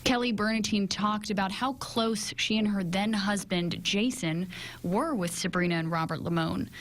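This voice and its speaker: A woman's voice: medium pitch, moderate pace, a little hiss or hum. An American woman delivering television news reporting from the field. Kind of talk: broadcast news reporting, scripted and live. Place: outdoors on location.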